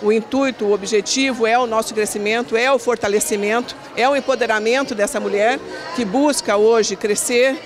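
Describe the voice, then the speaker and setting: A woman's voice: on the high side, fairly even intonation, moderate pace. A middle-aged woman talking into a handheld mic in an indoor event hall, a business committee coordinator speaking earnestly in a listing, repetitive way with small restarts. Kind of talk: event interview. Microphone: handheld interview mic.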